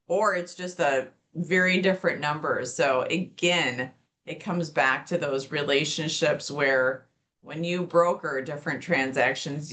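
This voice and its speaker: A woman's voice: sits low, a bit bright. A woman podcast host from Wisconsin, leading a casual interview and readily agreeing while adding her own experience.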